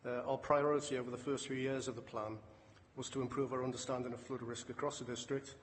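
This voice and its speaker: A man giving a formal, measured, matter-of-fact briefing as a council officer presenting a report. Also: mid-range voice, flat delivery. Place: large indoor council meeting room. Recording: echoey hall, distant, clear background noise.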